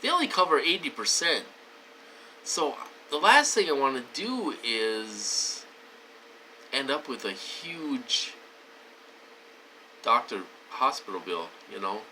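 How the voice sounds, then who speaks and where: Wheezy man, bright tense voice. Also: mid-range voice, very animated, slow, pauses often, a little hiss or hum, poor audio. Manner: rambling, many fillers and restarts. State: wheezy, short of breath, coughing. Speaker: vlogger. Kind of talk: casual talk to camera.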